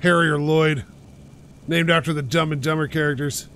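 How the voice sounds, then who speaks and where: A man talking over his own driving game playthrough with a slightly raised pitch. He pushes his voice out so it carries, which makes it sound a bit aggressive. He rambles casually and jokes at his own expense. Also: normal speed, a little hiss or hum. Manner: pushes his voice out loud and energetic, rambling and self-mocking. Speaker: gaming channel host. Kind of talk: casual let's-play commentary. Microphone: home microphone while playing on a couch.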